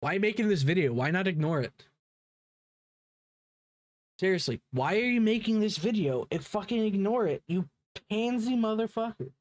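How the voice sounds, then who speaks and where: Animated, blunt man reacting. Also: high-pitched, moderate pace, long pauses, no room sound. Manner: blunt, mocking, profane. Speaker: reaction video host. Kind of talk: casual reaction commentary.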